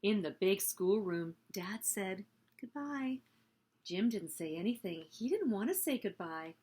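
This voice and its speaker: A woman hosting a story time, reading a picture book aloud in an expressive, animated way meant for young children. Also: medium pitch, measured pace, breathy edge.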